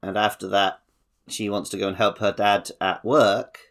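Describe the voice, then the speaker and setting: A man's voice: lowish voice, steady tone, moderate pace, a bit bright. A man co-hosting a casual video game podcast, chatting informally. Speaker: podcast co-host.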